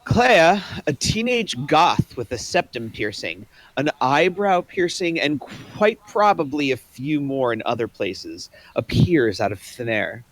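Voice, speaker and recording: A man's voice: mid-range voice, very animated, measured pace, hardly any pauses, a bit bright, dry recording, rough recording. Man plainly reading stage directions. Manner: plain, matter-of-fact. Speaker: narrator.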